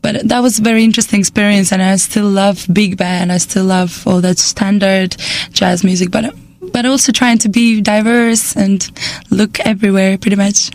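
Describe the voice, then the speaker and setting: A young Estonian woman guest speaks in halting English with an Estonian accent, pausing to hunt for words and breaking into frequent laughs. Her voice sits at a middling pitch, and the talk is casual and unhurried. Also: steady tone, darkish tone. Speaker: jazz singer guest. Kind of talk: casual radio interview.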